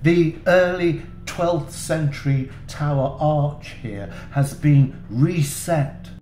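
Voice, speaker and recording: A man hosting a church tour video, narrating in a slow, dry way with wry asides. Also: on the high side, dark tone, roomy sound, soft background music.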